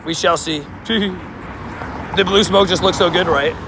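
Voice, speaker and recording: A man live-streaming outdoors, chatting casually with viewers and answering their chat messages, with a slightly hoarse voice. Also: high voice, fairly even intonation, unhurried, noisy background. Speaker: livestream host. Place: outdoors by a street with traffic.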